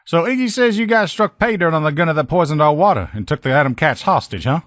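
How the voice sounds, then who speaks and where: A man's voice: a little high, expressive, fast, fairly bright tone, no echo, clean studio sound. A man playing an upbeat, loyal army lieutenant and armor mechanic, with folksy, informal soldier talk, as video game dialogue. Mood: conversational.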